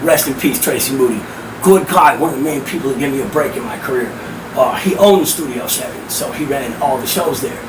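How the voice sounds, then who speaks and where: A middle-aged man with a hoarse, powerful voice, telling stories emphatically as a podcast guest. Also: mid-range voice, fairly quick. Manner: animated, emphatic, profane. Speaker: guest, rap host and promoter. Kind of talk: casual podcast storytelling. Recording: roomy, distant mic with air-conditioning hum.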